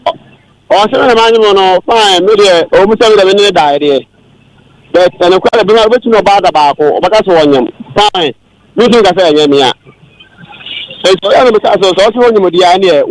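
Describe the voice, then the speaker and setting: A man's voice: high voice, normal speed, a little hiss or hum, poor audio. A Ghanaian-accented man calling in to a radio show, arguing his side insistently and repeating himself. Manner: insistent, repetitive. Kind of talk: heated argument.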